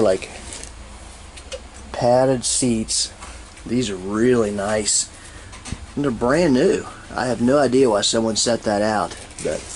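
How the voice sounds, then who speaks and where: A man giving a casual, folksy and excited walkthrough commentary, rambling as he goes like a vlogger. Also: medium pitch. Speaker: YouTube vlogger and reseller. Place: metal storage unit, handheld camera. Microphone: handheld camera mic. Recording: noisy handheld camera audio.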